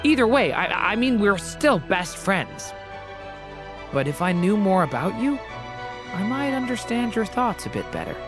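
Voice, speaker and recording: A woman playing a gentle, sincere, friendly boy in a video game, soft-hearted and earnest. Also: mid-range voice, sing-song, a little slow, over music. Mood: curious, considerate.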